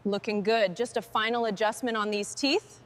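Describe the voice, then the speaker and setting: A woman's voice: mid-range voice, steady tone, moderate pace. A woman giving short work remarks as part of a truck restoration team.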